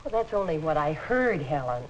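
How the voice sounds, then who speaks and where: 1950s office secretary, deferential gossip, expressive intonation, faintly hissy vintage soundtrack. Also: female voice, sits low, normal speed, muffled recording. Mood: cautious, gossipy. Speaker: actor in workplace instructional film. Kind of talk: 1950s instructional film acting.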